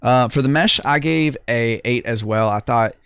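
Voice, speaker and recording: A young man with a gravelly, creaky edge rates contest songs in a loose, slangy way, often restarting his sentences. The sound is dry and close, with no room around it. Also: mid-range voice, normal speed, fairly bright tone, dry recording. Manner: loose, laid-back slangy talk with many restarts. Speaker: host judging music contest entries. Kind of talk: casual livestream review chat.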